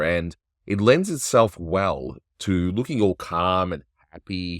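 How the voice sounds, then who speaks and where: A man's voice: deep voice, a bit bright, no echo, studio quality. A man hosting a casual animation review, chatty and analytical, with frequent restarts. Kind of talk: casual cartoon review commentary.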